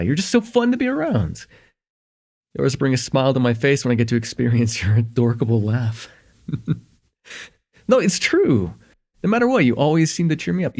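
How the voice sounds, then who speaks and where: A man playing a shy, smitten young student in an intimate ASMR roleplay, with an earnest, hesitant delivery and a husky, slightly gravelly voice. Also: mid-range voice, heavily acted. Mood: warm, teasing. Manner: hesitant, earnest, stammering, chuckling softly between phrases.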